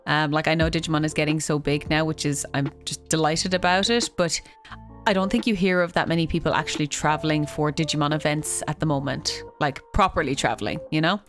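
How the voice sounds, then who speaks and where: Chatty low-pitched woman host. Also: steady tone, fairly quick, faint music behind. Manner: chatty, laughs easily, playful. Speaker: host, interviewer. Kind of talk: casual interview chat.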